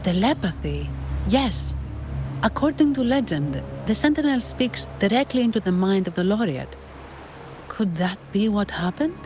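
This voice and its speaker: A woman's voice: medium pitch, expressive, moderate pace, music in the background, natural voice. A woman voicing a polite, informative young guide in a video game, explaining things courteously. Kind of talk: game voice acting.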